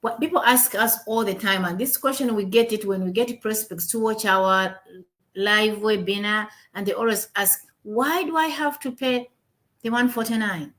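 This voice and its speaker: A woman's voice: mid-range voice, normal speed, fairly bright tone. A woman from Uganda hosting a livestreamed business talk, upbeat, with many fillers and repeated words. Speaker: webinar host. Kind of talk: casual livestream chat. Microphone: home computer mic on a video call.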